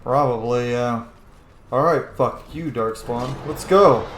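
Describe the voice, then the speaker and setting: A man's voice: mid-range voice, slow pace, background noise. A man giving casual, sweary gameplay commentary over a video game. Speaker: gaming video host. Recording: roomy echo, game music behind. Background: video game music.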